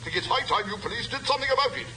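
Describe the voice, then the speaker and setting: A man's voice: very high voice, expressive, racing, bright, forceful tone, exaggerated voice. An actor playing a blunt, grumbling, fed-up shopkeeper and protective father in an old radio drama. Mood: indignant. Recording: noisy old radio recording.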